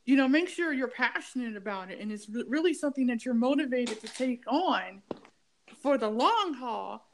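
A woman with a fairly high voice hosts a conversational interview. She talks in a chatty, emphatic way and leans into her opinions with a ranting edge. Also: animated, moderate pace, a little hiss or hum, rough recording. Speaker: podcast host. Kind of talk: casual interview chat.

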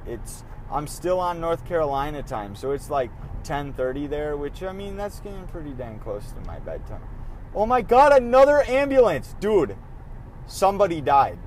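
A young man with a slightly raspy, tired voice hosting a casual solo podcast while driving, rambling and interrupting himself. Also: fairly high pitch, moderate pace, low-quality recording. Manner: rambling, animated, self-interrupting. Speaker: podcast host. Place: in a car, driving outdoors. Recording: faint road noise in a car. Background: car and street noise.

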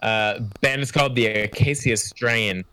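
A man's voice: medium pitch, measured pace, bright, forceful tone, smooth, no echo. Blunt man bantering, dry close recording. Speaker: podcast co-host. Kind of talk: casual chat about music and movies.

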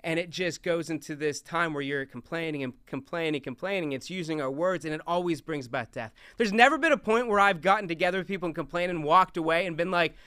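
Loud, animated young man preaching on stage. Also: on the high side, fast, few pauses, smooth, no room sound, studio quality. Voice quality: big loud voice. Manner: animated, talks with hands, moves around on stage. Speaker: youth speaker. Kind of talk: lively stage talk on scripture.

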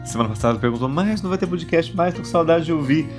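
A Brazilian man talking into his podcast mic with a stuffy, nasal voice from a cold. He chats easily, tossing in little tag questions as he goes, with soft music playing faintly underneath. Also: on the high side, lively intonation, quick pace. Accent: Brazilian Portuguese. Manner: chatty, warm asides, many tag questions. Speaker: podcast host. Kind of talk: casual religious podcast chat.

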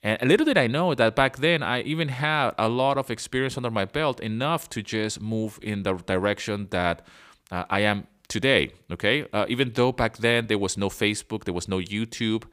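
A man's voice: on the low side, normal speed, a bit bright, smooth. A man in his forties from Venezuela, hosting a casual solo podcast. He tells his story in a rambling way and often checks in with the listener. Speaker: podcast host.

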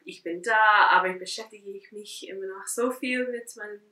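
A woman's voice: mid-range voice, fairly even intonation, normal speed, sharp tone. A woman from the United States whose first language is English, co-hosting a podcast in casual, chatty conversation. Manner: chatty, asks long questions. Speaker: podcast co-host. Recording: roomy, distant from the mic.

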